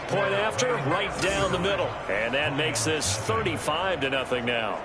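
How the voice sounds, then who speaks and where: A man's voice: a little high, animated, moderate pace, lightly acted. A man acting as a polished American TV football play-by-play announcer, with a projected broadcast delivery. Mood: focused. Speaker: play-by-play commentator. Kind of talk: football game commentary. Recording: loud game stadium noise behind.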